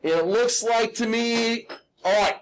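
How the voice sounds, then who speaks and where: A man with a high, lightly raspy voice walks students through a drawing task step by step. He is informal and self-deprecating, talking like a hands-on teacher and often pausing to check in. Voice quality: slightly raspy. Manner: instructional, checks in often with "okay?". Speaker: drafting teacher. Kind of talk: live step-by-step hand drawing demonstration.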